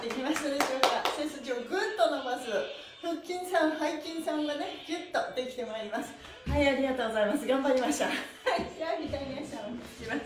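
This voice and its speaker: Woman, middle-aged, high voice, upbeat stretching instructor, rhythmic chanted cues, very echoey distant recording. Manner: cheerful, encouraging, rhythmic cueing. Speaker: stretching class instructor and pantomime teacher. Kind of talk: exercise instruction with chanted counts. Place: small bare indoor studio room. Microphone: camera a few meters away. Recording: echoey room, mic far off, faint music.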